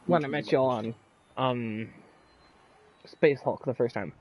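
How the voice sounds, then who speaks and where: A man's voice: medium pitch, slow pace, lo-fi sound. A man streaming a game and chatting casually with friends, often stumbling and repeating his words. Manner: stammers and repeats words, lots of fillers. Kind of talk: casual live-stream chat with party friends.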